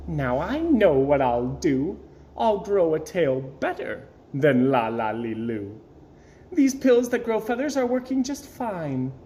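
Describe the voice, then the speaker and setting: A jealous little girl bird from a storybook, played by a man, pitched high and swooping wildly. She is vain and excitable, whining and boasting with dramatic exclamations. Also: slow pace. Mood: excited. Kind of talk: storybook character voice.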